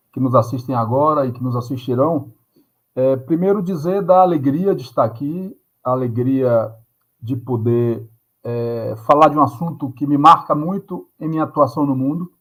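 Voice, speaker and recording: A middle-aged man talks as a podcast guest, his voice sitting a little high for a man. He speaks earnestly and thoughtfully, pausing often on hesitant fillers as he gathers his words. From Salvador, Bahia, Brazil. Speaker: guest professor. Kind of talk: podcast interview.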